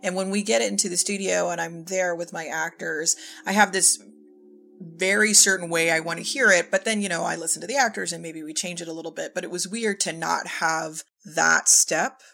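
A woman's voice: fairly low pitch, steady tone, normal speed, creaky, sharp tone, faint music behind. A woman talking casually and conversationally about her creative work as a writer and director. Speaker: podcast guest, audio drama writer and director.

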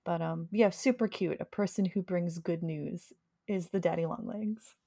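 Woman co-host from New York, slightly gravelly tired voice, chatty, dry close mic. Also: on the low side, steady tone, moderate pace, mellow, no echo. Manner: chatty, enthusiastic about bug facts. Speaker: podcast co-host. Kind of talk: casual chat.

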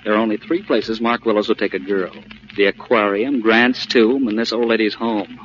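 A man's voice: on the low side, steady tone, normal speed, fairly bright tone, close to an everyday voice. A man playing a cocky, impatient young playboy prankster with a breezy, teasing manner, in 1940s radio comedy acting on a muffled, noisy recording.

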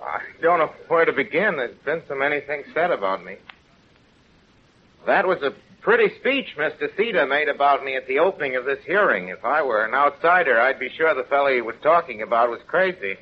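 A man's voice: mid-range voice, expressive, a little fast, natural voice. Unassuming small-town everyman played by a male actor, plain-spoken, earnest, folksy delivery, dull 1930s radio sound. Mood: mild, earnest. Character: plain-spoken, guileless small-town man defending himself. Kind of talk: 1930s-40s radio drama acting. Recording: muffled, noisy old radio recording.

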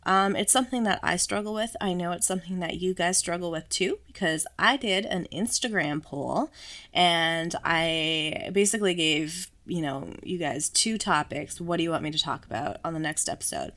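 A woman with a notably low voice hosts her solo podcast while sick, her throat a little hoarse. She chats casually and pokes fun at herself with frequent small laughs. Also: sharp tone. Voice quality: slightly hoarse. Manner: chatty, self-deprecating, laughs often. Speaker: podcast host.

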